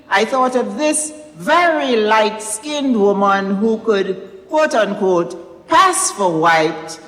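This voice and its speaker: A woman giving a prepared literary talk, speaking in measured, deliberate phrases with pauses. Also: mid-range voice. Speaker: author presenting own novel. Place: recital hall, indoors. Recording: echoey recital hall with long reverb.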